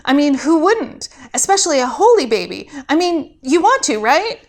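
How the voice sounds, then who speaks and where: Storybook rookie angel played by a woman, genderless, high and lively, nervous, babbling, apologetic. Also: normal speed. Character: eager, awkward, babbling young non-binary novice angel.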